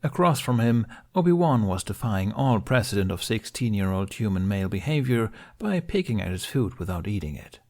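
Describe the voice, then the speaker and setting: A man's voice: lowish voice, big pitch swings, fairly quick, hardly any pauses, darkish tone. A man reading scripted fiction aloud as an audiobook narrator, telling a dramatic story.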